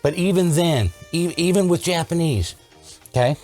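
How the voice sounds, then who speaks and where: A man's voice: fairly high pitch, normal speed, a little mellow, soft background music. A man giving a casual hands-on repair tutorial, explaining and thinking aloud as he goes. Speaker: vintage electronics repair host.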